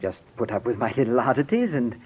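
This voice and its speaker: An actor in an old radio drama playing a moody, scheming composer, formal and easily irritated. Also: male voice, medium pitch, sing-song, fast, slightly rough. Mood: formal, aloof. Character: moody, imperious, scheming composer, brother of dead sisters. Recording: muffled old radio recording.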